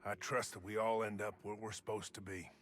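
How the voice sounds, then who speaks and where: Guy doing a gruff-tempered company man from a space western game, sharp forceful tone, loyal and wary. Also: fairly low pitch, little pitch movement, brisk, soft background music, close to an everyday voice. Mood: wary, reflective. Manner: folksy, forceful. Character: angry, wary frontier company foreman, man, stubborn and loyal. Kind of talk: dramatic video game dialogue.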